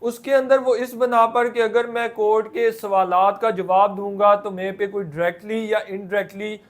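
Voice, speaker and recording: A man's voice: high voice, steady tone, moderate pace. A man with a Pakistani accent giving an explanatory lecture, in a didactic way that poses questions and then answers them. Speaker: law lecturer.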